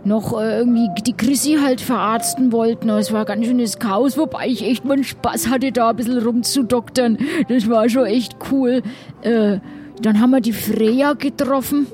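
A woman plays a naive, loyal pirate fellow in an improvised audio adventure. The voice is fairly high and rambles on colloquially, with some music behind it. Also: fairly even intonation, moderate pace, warm tone, lightly acted. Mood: chatty, cheerful. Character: Kalle, chatty, loyal, naive male pirate.

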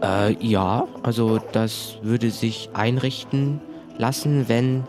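A man's voice: mid-range voice, slow, music in the background, natural voice. A man playing a polite, eager, slightly overwhelmed pirate crewman in an improvised audio play, speaking hesitantly. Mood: obliging.

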